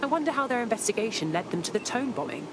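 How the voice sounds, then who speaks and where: Game role: inquisitive female operative, fairly high voice, very animated, earnest and indignant. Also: fast talker, noisy background. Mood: curious. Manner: earnest, expressive. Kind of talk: video game cutscene acting.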